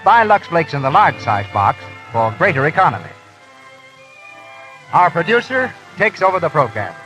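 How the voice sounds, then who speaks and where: Animated American radio announcer over music. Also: male voice, on the high side, normal speed, poor audio. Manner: formal, polished projection. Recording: old radio broadcast with music.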